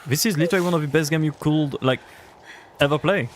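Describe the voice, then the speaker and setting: A man's voice: on the high side, fast. A young man with a French accent talks in a loose, rambling way, replying to chat messages as he plays a game on stream. He sits at a desk microphone at home, with a faint noise under his voice. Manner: chatty, rambling, answers chat. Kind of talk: casual livestream chat while gaming.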